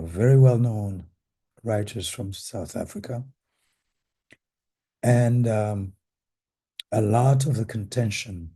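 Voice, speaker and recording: Hoarse older man, slow and dark-toned. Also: on the low side. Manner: measured, deliberate, halting. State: hoarse from a cold, losing voice. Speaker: panelist, retired professor and former association president. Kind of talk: reflective panel talk recalling history.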